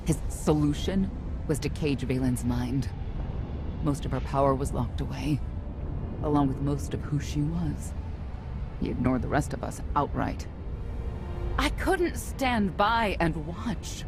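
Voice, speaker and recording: A middle-aged woman playing a sorrowful, weary knight and estranged mother in a game cutscene, speaking in a measured, solemn way. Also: low voice, expressive. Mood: sorrowful, regretful. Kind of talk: dramatic game cutscene acting. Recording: music behind the voice.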